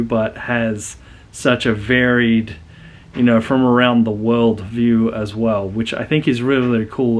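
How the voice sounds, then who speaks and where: Earnest Australian man, rambling intro. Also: mid-range voice. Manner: rambling and earnest, many fillers and restarts. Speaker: channel host. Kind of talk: casual talking-to-camera channel intro.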